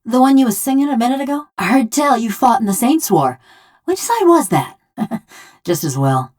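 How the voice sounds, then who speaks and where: A woman voicing a bitter, sardonic construct woman in a video game, a rough rural killer who talks in folksy, ungrammatical, taunting speech. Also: on the high side, big pitch swings, moderate pace, darkish tone. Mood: wry, curious. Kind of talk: video game character dialogue.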